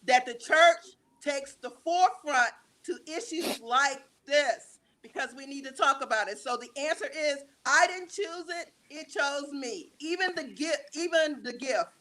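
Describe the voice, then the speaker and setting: A woman giving personal testimony as an interview guest, speaking emphatically and deliberately with dramatic pauses. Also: high-pitched, animated, sharp tone. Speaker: guest, stage play writer and director.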